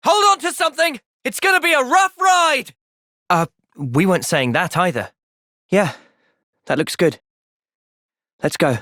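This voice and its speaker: A man voicing an earnest, thoughtful young fighter in a video game, measured and sincere but capable of impassioned intensity. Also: sits high, pushed and bright, dry recording, studio quality. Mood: urgent, defiant. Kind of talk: video game cutscene voice acting.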